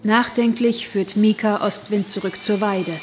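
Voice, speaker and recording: Woman narrating calmly over music. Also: mid-range voice, unhurried. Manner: calm storytelling. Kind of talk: scripted narration of a radio play.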